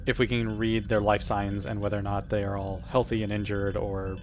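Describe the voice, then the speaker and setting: Lower-voiced man gaming, noisy recording. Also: flat delivery, fairly quick. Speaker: tabletop game player. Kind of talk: casual game table chat.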